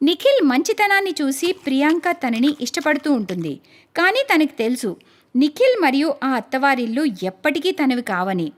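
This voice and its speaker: Lively high-pitched woman narrator. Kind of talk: storybook narration for a cartoon.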